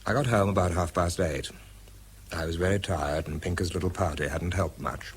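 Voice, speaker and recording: An actor playing a weary, wry wartime weapons researcher with a British accent, narrating and talking in a dry, understated way. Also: male voice, low-pitched, normal speed, husky. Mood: weary, matter-of-fact. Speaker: lead actor and first-person narrator. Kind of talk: radio drama narration and dialogue.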